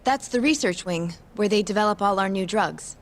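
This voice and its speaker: An actress in an English game dub playing a polite, reserved young medical professional who explains things in a professional manner. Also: female voice, mid-range voice, normal speed, slight background noise, lightly acted. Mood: informative.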